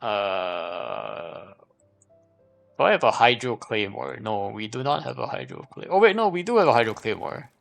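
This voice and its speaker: A man's voice: mid-range voice, sing-song, moderate pace, creaky, fairly bright tone, faint music behind. A man giving casual live gameplay commentary, thinking aloud as he repeats and questions himself. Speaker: game livestreamer.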